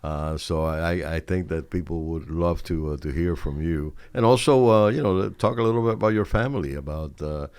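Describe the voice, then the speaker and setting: A man hosting a podcast, asking questions casually and feeling his way haltingly through his sentences. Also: sits low, a little mellow, dry recording. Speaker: podcast host.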